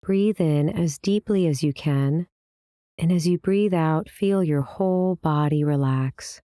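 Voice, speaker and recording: A woman leading a guided meditation as the teacher, speaking in a calm, measured, gently instructing manner. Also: deep voice, no room sound, studio quality. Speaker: meditation teacher, series host.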